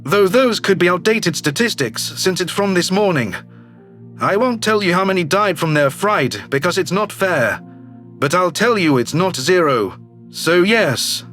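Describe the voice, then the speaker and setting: A man's voice: sits high, a bit bright, voice pushed down. A man voicing a brash, slick alien governor who talks with glib self-assurance, in a sci-fi audio drama. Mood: matter-of-fact. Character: brash, slick alien politician, newly elected male governor. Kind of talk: audio drama character reading.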